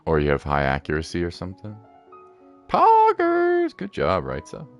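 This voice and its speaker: Male streamer, extremely low voice, lively intonation, chatty, playful, casual commentary, faint music. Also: normal speed. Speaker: game streamer. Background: faint game music.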